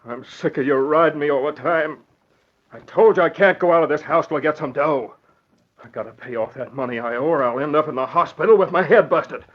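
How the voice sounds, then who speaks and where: Actor as a husky, desperate, whining husband. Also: male voice, a little high, fairly quick, lightly acted. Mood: agitated, defensive. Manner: whining, agitated, slangy working-class talk. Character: shabby, desperate, weak-willed dockworker husband in debt. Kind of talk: 1940s radio acting. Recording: dull old radio broadcast recording.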